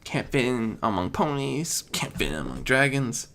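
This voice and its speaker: A man voicing an insecure, hot-tempered young dragon in an audiobook story, with a high, strained voice and plaintive outbursts. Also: animated, moderate pace, creaky, a bit bright. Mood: bitter, hurt.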